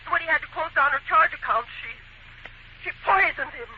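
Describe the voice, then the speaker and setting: A woman's voice: sits high, fairly quick, close to an everyday voice. On a muffled, lightly hissing 1940s radio recording, an actress plays a melodramatic woman caught up in a murder case. Her delivery is emphatic and theatrical. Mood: accusing. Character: women suspects in a murder mystery, melodramatic, wounded.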